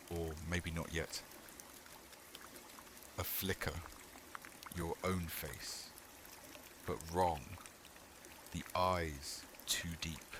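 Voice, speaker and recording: A man reading a scripted second-person horror story in ASMR style, hushed and measured, in short phrases with long pauses. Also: deep voice, noisy background. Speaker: horror sleepcast narrator.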